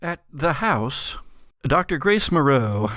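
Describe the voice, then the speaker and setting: A man working as game master, narrating a tabletop horror game with suspenseful pauses and vivid description. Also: on the high side, big pitch swings, a bit bright. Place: home studio.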